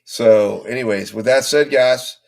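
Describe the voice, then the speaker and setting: A man hosting a casual livestream chat, hoarse and run down from the flu, talking in a rambling, halting way with many restarts. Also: mid-range voice, a little mellow. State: recovering from flu, losing his voice. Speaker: host.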